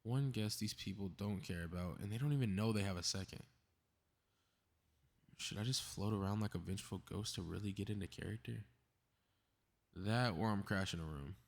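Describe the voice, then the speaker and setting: A man's voice: fairly low pitch, moderate pace, gravelly, pauses often. A man playing a cocky, snarky superhero in a scripted audio roleplay, muttering to himself with sarcastic exasperation. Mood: scheming. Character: cocky, snarky, meddling invisible male superhero grumbling to himself.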